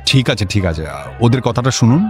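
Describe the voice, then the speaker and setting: A man playing a senior district official in a comic radio drama, brisk and dismissive, repeating his phrases impatiently. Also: medium pitch, lively intonation, a little mellow, faint music behind, close to an everyday voice. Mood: authoritative, questioning.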